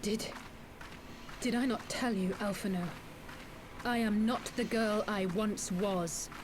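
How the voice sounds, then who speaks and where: A woman's voice: medium pitch, slow, noisy background, natural voice. A woman playing a proud, resolute young swordswoman in a video game, speaking formally and dramatically. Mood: defiant. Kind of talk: video game cutscene acting.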